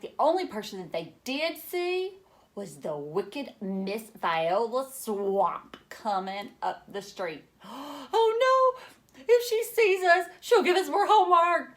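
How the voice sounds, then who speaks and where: A woman's voice: mid-range voice, fairly bright tone. A woman reading a picture book aloud to children, theatrically, with questioning asides to the young listeners.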